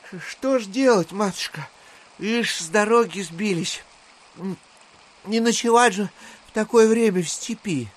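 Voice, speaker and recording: An actor playing a polite, ingratiating gentleman traveller in an audio drama, courteous and wheedling. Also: high voice, measured pace. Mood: pleading.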